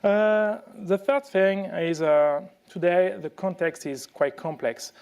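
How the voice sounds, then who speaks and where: A man with a French accent gives a conference talk, his voice pitched somewhat high for a man. He speaks haltingly, restarting words and filling pauses with "uh" as he works through his points. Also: a little mellow, clean tone, highs cut off. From France. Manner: halting, frequent restarts and fillers. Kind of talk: conference presentation.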